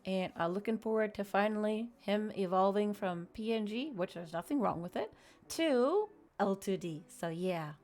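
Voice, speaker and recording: A woman's voice: medium pitch, animated, moderate pace, a little mellow. A woman live streamer chatting casually and informally to her viewers in a friendly way. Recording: music playing behind the voice. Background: background music.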